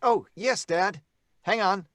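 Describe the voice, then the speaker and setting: High-pitched, boyish, eager son. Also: male voice, slow pace, a bit bright, no room sound. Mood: eager, studious. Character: eager, youthful son, methodical ghost watcher. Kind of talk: old-time radio drama acting. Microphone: microphone on a video call.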